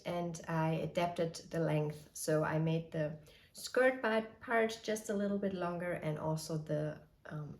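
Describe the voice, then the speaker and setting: A woman in her thirties hosts a knitting show at home, sharing her finished projects in a cheerful, detailed way with small pauses. Her voice sits on the low side and is a bit rough as she gets over a cold. The room gives her voice a noticeable echo. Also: darkish tone. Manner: cheerful, detailed, slightly hesitant. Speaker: knitting podcast host. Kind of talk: casual show-and-tell. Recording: echoey room.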